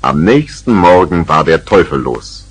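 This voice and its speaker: A man's voice: very deep voice, big pitch swings, measured pace, slight background noise. A man narrating a 1970s children's radio play as a scripted storyteller.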